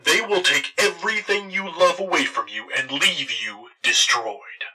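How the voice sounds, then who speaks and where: A man's voice: a little high, a little slow, sharp tone, far from the mic, full character voice. A man playing a stern, wrathful villain in an audio drama, formal and imperious. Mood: threatening.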